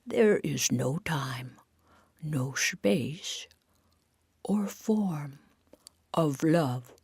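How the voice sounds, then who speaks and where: A woman voicing a serene, reverent female animal sage in a storybook, speaking slowly and meditatively. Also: deep voice, animated, creaky, a little mellow, husky, no room sound, studio quality, heavily acted.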